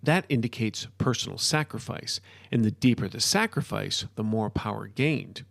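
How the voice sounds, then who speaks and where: A man acting out an excitable, gravel-edged modern-day wizard. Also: on the low side, normal speed, dry recording, clean studio sound. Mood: intense lecturing. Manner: animated, emphatic lecturing.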